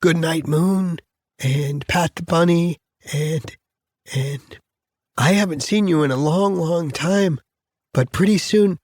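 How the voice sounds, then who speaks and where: A man's voice: a little high, fast, few pauses, no room sound, studio quality, pitched above the performer's own voice, lightly acted. A man playing a tender, anxious imprisoned father speaking to his little daughter in an audiobook. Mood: tender pleading.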